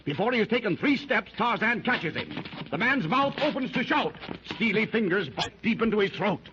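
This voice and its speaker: A man's voice: high-pitched, expressive, normal speed, noisy background. A man narrating a 1930s radio adventure serial in a dramatic style that rises with the action. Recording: dull lo-fi 1930s radio recording.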